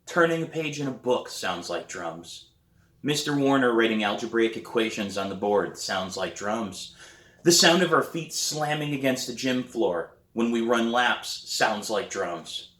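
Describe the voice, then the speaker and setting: A man reading a novel aloud as its author, with expressive, deliberate and dramatic storybook narration. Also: mid-range voice, echoey room.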